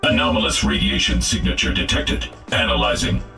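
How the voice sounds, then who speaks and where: An actor playing a menacing, contemptuous genocidal robot in a video game, with cold, formal, condescending diction and metallic processing. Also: male voice, extremely low pitch, a little fast, sharp tone, echoey room, distant mic, faint music behind. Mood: cold superiority. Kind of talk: video game character voice lines.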